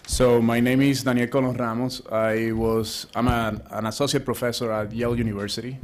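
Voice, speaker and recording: A man's voice: mid-range voice, level tone, fairly quick. A man from Rico, an associate professor giving a conversational panel talk, full of restarts and fillers. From Puerto Rico. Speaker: associate professor, speaker at a round table.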